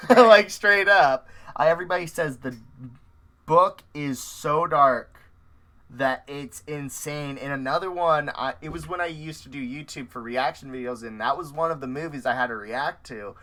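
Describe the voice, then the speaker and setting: A man hosting a casual interview with cosplayers, talking in an animated, rambling way and laughing often. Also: a little high, normal speed. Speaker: interview host.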